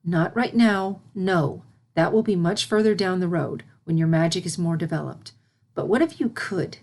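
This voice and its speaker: A royal pony princess voiced by a woman with a fairly low female voice. She is a gentle mentor from a storybook, measured and instructive, consoling with patience. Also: slight background noise, lightly acted. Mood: patient, teaching.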